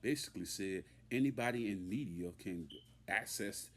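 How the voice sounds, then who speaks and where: A man's voice: fairly low pitch, normal speed, a little hiss or hum. A man giving an unscripted, emphatic and indignant opinion rant as a hip-hop commentator, repeating himself and using street slang.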